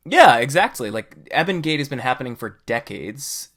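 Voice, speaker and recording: Male podcast host, forceful bright voice, conversational and opinionated, casual discussion. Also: medium pitch, big pitch swings, normal speed, soft background music.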